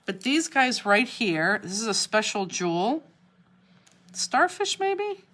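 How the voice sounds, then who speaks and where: A woman's voice: on the high side, sing-song, slow, background noise. A woman from Cleveland with a Cleveland accent, hosting a live craft class and chatting as she answers viewers' comments. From Cleveland, Ohio. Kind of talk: live online craft demonstration. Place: craft studio, indoors.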